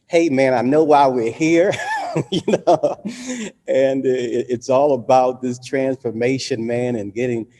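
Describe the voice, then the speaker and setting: A middle-aged man, a senior pastor, reflecting on his career in a measured way with many fillers. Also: medium pitch, steady tone, warm tone. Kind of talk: personal reflection, casual.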